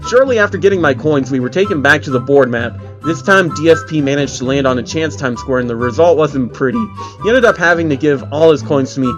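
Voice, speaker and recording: A man's voice: a little high, quick pace, dull audio. A man giving a mocking, amused dramatic reading of an online horror story as its narrator. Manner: amused, exaggerated, often breaking character. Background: faint music.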